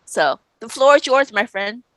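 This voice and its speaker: A woman's voice: fairly high pitch, expressive, normal speed, fairly bright tone, no echo. A woman hosting an interview show, introducing her guest and putting questions in an upbeat, welcoming presenter style. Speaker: show host.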